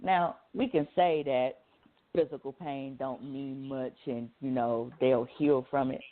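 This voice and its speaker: A man's voice: medium pitch, steady tone, unhurried. A man with an American accent, bantering as a radio co-host in slangy, argumentative, swearing talk. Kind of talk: casual banter. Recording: narrow phone-like line.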